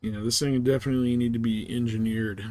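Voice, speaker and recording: A man's voice: mid-range voice, steady tone, fast, darkish tone, no echo. A man giving casual live commentary as he plays a game, thinking aloud as he goes. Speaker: game streamer.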